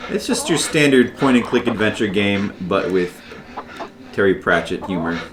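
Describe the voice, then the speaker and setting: Low-voiced man casually streaming a game. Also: expressive, normal speed. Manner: muttering, thinking aloud. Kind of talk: casual gameplay commentary. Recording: game music behind the voice.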